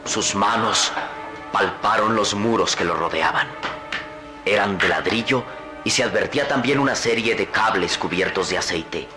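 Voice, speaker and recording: A man's voice: on the low side, moderate pace. A man narrating a radio adventure serial in a grave storytelling manner. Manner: grave, storytelling, rising to urgent. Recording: echoey vintage radio drama with loud music.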